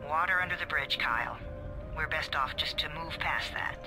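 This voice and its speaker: A man's voice: fairly high pitch, normal speed, pushed and bright, soft background music, low-quality recording. An actor playing a manipulative, condescending male agency handler in a video game, coaxing and controlling. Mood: coaxing, controlled.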